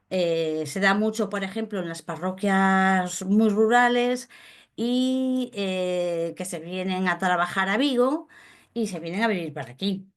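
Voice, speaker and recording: A woman giving an explanatory lecture, unhurried, pausing with fillers and checking in with her listeners. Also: on the low side. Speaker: speaker on commons law. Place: Galicia, Spain.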